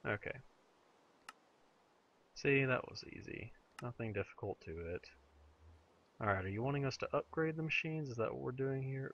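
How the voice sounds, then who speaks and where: A man with a slightly hoarse, raspy voice chatting to himself while playing through a modded game. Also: fairly low pitch, fairly bright tone, lo-fi sound, slight background noise. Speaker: let's play gamer. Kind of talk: casual gameplay commentary.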